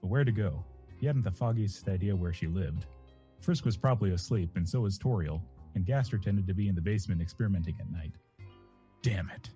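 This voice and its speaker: A man's voice: sits low, a little fast, warm tone, soft background music. A man in casual livestream chat, also narrating fan fiction aloud. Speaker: voice actor, stream guest.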